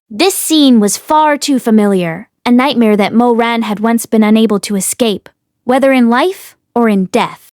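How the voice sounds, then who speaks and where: A woman reading a fantasy novel aloud as an expressive audiobook narrator. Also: medium pitch, clean tone, no echo, clean studio sound. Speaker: audiobook reader.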